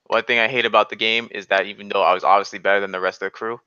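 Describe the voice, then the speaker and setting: A man's voice: lowish voice, fairly even intonation, racing, sharp tone, dull audio, a little hiss or hum. A young man in casual banter, talking in a slangy, argumentative and boastful way.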